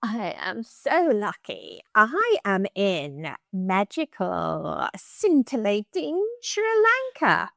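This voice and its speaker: A woman with an Irish accent, a podcast guest chatting casually in a bubbly, expressive way and laughing easily. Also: medium pitch, drawn-out words, creaky, few pauses, no room sound, studio quality. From Ireland. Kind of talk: casual interview chat.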